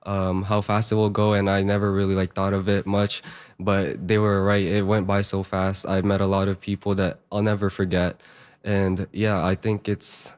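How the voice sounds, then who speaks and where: A hoarse young man, a fresh high school graduate, talking earnestly with many fillers as a radio interview guest. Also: flat delivery, normal speed, a little mellow. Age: young adult.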